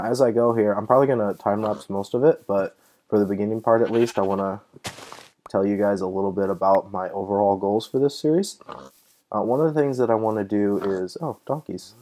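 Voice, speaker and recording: A young man with a deep, mellow voice narrates his gameplay in a loose, chatty way. He gets excited easily and thinks aloud with plenty of fillers. He's a little sick, so his voice sounds slightly off. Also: a little hiss or hum. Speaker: gaming channel host. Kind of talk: casual let's-play commentary.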